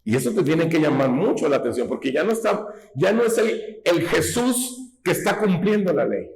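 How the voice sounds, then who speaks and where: A man's voice: on the high side, very animated, moderate pace, gravelly, darkish tone. A man preaching a sermon in a folksy teaching tone, often asking his listeners whether they follow him. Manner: folksy, asks rhetorical questions, teaching tone. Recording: echoey hall.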